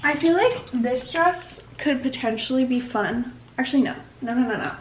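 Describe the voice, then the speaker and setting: A woman's voice: sits high, lively intonation, moderate pace, slight background noise, low-quality recording. Chatty, swearing woman in her twenties. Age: 20s. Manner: casual, swears often, chatty. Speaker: vlogger. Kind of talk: casual vlog chat. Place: apartment bedroom and kitchen. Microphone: handheld vlog camera.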